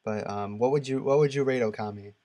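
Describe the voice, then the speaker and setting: Man in halting, casual gaming chat. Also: mid-range voice, dark tone. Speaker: podcast co-host.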